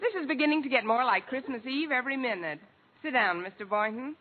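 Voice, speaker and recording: An actress playing a wry, smitten schoolteacher with dry wisecracks in a vintage radio comedy. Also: female voice, high-pitched, quick pace, natural voice. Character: wry, quick-witted adult schoolteacher woman, hopelessly smitten. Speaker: lead actress in radio sitcom. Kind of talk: 1940s radio comedy acting. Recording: old muffled radio, noisy, audience laughter.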